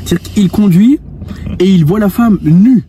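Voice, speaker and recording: A man's voice: sits high, expressive, moderate pace, mellow, slight background noise. A man in his twenties, a coach speaking earnestly to the viewer about spirituality and women, telling an anecdote with many restarts. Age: 20s. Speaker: dating and lifestyle coach. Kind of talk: monologue addressed to the viewer.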